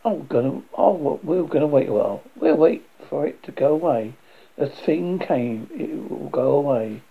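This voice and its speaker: A man playing a frightened, bookish young man in a horror story, halting and earnest. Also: a little high, lively intonation, gravelly, breathy edge, heavily acted. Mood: anxious reasoning. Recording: dull lo-fi recording.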